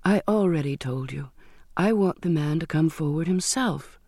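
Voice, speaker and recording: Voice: mid-range voice, normal speed, darkish tone, no room sound, clean studio sound, close to an everyday voice. A narrator voicing a stubborn, bitter young girl in an audiobook, with emphatic, emotionally strained, formal speech. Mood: tearful, stubborn.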